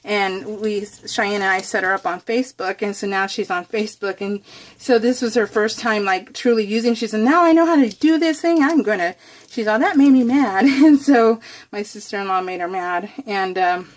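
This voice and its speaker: A woman's voice: mid-range voice, moderate pace, rough recording. An American woman chatting casually to viewers while crafting, in a bubbly, giggly, rambling, gushing way. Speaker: craft vlogger hosting a scrapbook process video.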